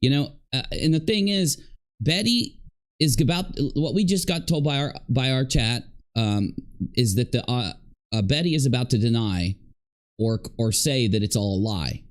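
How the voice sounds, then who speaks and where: A man's voice: medium pitch, animated, normal speed. A man commenting live, reacting to clips and talking to his chat in a sarcastic, rambling way. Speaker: livestream commentator.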